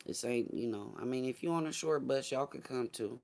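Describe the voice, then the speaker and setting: A man with a mid-pitched voice hosts a casual livestream. He talks straight to his chat in loose, slangy language with some swearing. He leans on key phrases and repeats them to drive his points home. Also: brisk, a little mellow. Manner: slangy, profane, conversational; emphatic, repeats phrases for effect. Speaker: livestream host. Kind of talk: casual livestream political commentary, addressing a live chat.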